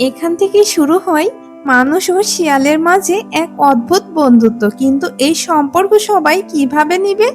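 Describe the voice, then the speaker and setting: A woman's voice: high-pitched, moderate pace, soft background music. A woman giving scripted narration for a cartoon story.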